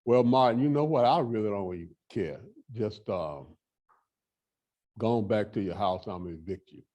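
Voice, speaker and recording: A man's voice: on the low side, normal speed, dark tone, dull audio, pitched below the performer's own voice, close to an everyday voice. A man doing a curt, dismissive landlord. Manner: curt, offhand.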